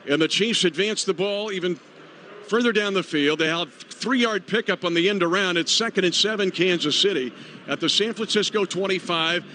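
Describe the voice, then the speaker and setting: High-pitched American sportscaster calling football. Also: male voice, sharp tone. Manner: clipped, urgent phrasing. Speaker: radio play-by-play announcer. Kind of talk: live American football commentary. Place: stadium broadcast booth. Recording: noisy live stadium radio broadcast.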